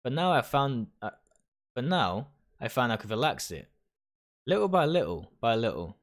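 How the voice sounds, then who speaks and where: A man's voice: mid-range voice, sing-song, normal speed. A man reading first-person narration aloud, stumbling over words now and then and rereading phrases. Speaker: game streamer reading a visual novel aloud. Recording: music behind the voice.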